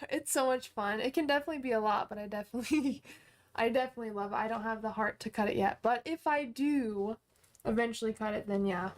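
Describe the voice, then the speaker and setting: Chatty young woman, bright higher voice. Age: 20s. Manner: chatty and upbeat, many fillers and restarts. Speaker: livestream host and online course teacher. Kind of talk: casual livestream chat, answering viewer comments.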